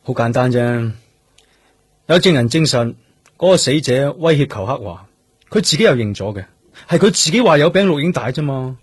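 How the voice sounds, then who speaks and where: A man acting in a Cantonese radio drama as a stubborn, brooding police detective, with a husky voice and Hong Kong accent. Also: mid-range voice, expressive, normal speed, no echo. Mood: stubborn, cool.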